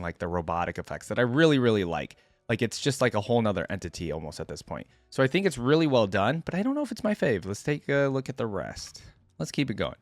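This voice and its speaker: A man's voice: medium pitch, no room sound. A man giving casual, off-the-cuff reaction commentary, thinking aloud in an informal way. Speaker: reaction video host.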